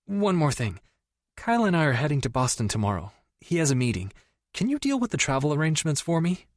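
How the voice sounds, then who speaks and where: A woman's voice: low voice, very animated, fast talker, no room sound, clean studio sound, pitched above the performer's own voice. A man voicing a reserved young woman who speaks tightly and keeps her composure in an audiobook. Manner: tight, composed, a little exasperated. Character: guarded, reserved young woman, easily embarrassed. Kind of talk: audiobook character voice.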